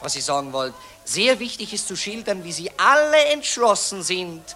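Casting: a smug, declaiming newsman, high, lively and bright. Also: normal speed. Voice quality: pompous, declamatory. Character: pompous male journalist, glib and self-satisfied. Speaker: newspaper reporter. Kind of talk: radio drama acting.

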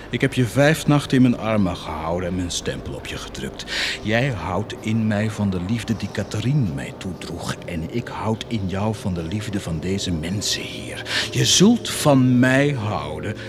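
An actor playing a domineering, tormented military captain in a Dutch radio play, theatrical and intense. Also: male voice, lowish voice, expressive, a little slow, music in the background. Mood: hushed, intense. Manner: theatrical, swinging from hushed intensity to shouting.

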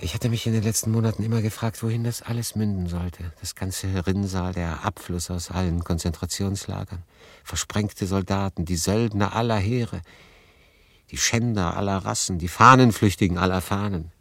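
Deep-voiced, world-weary refugee. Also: male voice, fairly even intonation. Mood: reflective, wistful. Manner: conversational, deliberate pauses, shifting between emphatic and hushed. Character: world-weary refugee man in 1940 France, confiding his story. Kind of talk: dramatic first-person monologue, literary audio drama.

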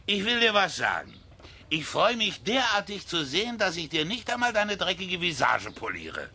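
An actor playing a brutish small-town bully in a German radio drama, raspy, coarse, taunting and threatening. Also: male voice, sits high, huge pitch swings, moderate pace, bright, forceful tone, heavily acted. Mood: gloating menace.

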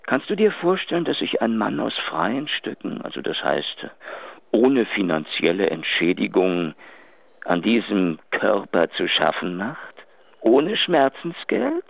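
Radio drama cad: low, cruel, sneering emphasis, dry and narrow telephone sound. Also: male voice, sing-song, unhurried, vocal fry, dry recording, full character voice. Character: cruel, contemptuous adulterous man taunting his partner. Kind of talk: German radio drama acting. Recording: tinny narrow phone-like sound.

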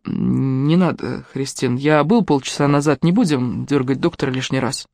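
A narrator voicing a polite adult man who works in medicine and speaks in a measured, courteous way. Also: rumbling low, creaky, darkish tone, no room sound, clean studio sound. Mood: calm.

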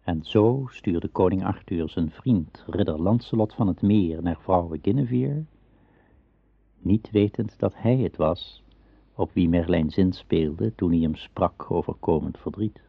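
A man narrating an Arthurian legend in a radio play, measured and solemn like a storybook teller. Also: deep voice, vocal fry, slightly rough, no echo, heavily acted. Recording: dull old radio drama recording.

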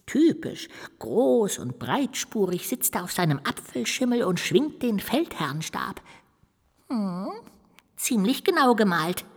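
Children's radio play: mischievous little ghost, highly animated and breathy, with long reverb. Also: female voice, exaggerated voice. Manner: exclamatory, musing to itself with little hums and sighs. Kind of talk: children's audio drama acting.

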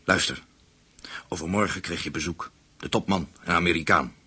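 Curt secret boss in a radio play, deep voice, hard forceful tone. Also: male voice, normal speed, breathy edge, exaggerated voice. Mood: curt, wary. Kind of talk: Dutch radio play acting. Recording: radio play with faint background noise.

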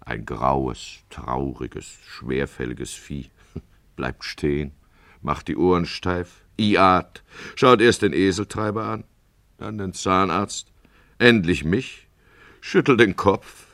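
An actor playing a weary, sardonic town judge in a radio play, shifting between confiding narration and declaimed appeals for peace. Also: male voice, low voice, slow, fairly bright tone, no echo, clean studio sound, heavily acted. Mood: wry, resigned. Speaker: judge and first-person narrator.

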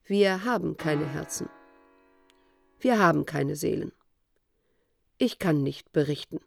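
A low-pitched woman's voice with a gravelly edge narrates the end times in a literary radio play. She is sober, deliberate and disillusioned. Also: expressive, faint music behind, full character voice. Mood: sombre, reflective. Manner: measured, sombre, deliberate. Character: sober, disillusioned female storyteller of the apocalypse. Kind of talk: literary radio drama monologue.

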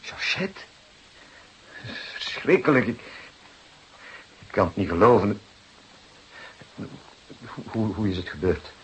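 An actor playing an anxious, defensive married gentleman in a Dutch radio drama, with halting, emotional speech. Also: lowish voice, lively intonation, breathy edge, exaggerated voice. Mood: shocked. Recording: hissy, noisy old recording.

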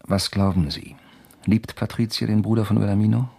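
An actor playing a dogged, troubled investigator in a German radio crime drama, husky and terse, with an insistent questioning manner. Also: male voice, fairly low pitch, little pitch movement, a little fast, warm tone. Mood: probing. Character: dogged, troubled male investigator probing a suspected murder.

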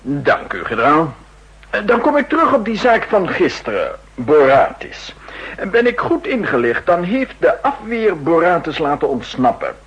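An actor playing a theatrical, scheming spy chief in a 1960s radio play, with a husky, insinuating delivery. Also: male voice, a little high, huge pitch swings, normal speed, fairly bright tone, exaggerated voice. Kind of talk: 1960s radio drama acting.

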